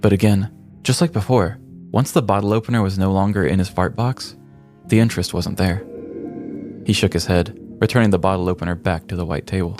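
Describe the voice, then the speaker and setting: A man with a low voice narrates a scripted comic tale as a podcast host. He delivers it with ornate, mock-serious drama. Music plays softly underneath. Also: brisk. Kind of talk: scripted comedic story narration. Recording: music bed behind the voice. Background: background music.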